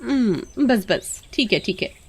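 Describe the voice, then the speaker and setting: A woman voicing a cruel, snappish young mother and sister-in-law in an animated story, scornful and scolding. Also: fairly high pitch, takes it slowly, breathy edge, pitched above the performer's own voice, full character voice. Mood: haughty.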